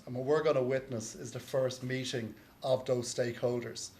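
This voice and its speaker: A man's voice: medium pitch, a little mellow. A man with an Irish accent introducing a conference panel in a chatty, lightly joking way, with frequent ums. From Ireland. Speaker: session moderator. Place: conference hall.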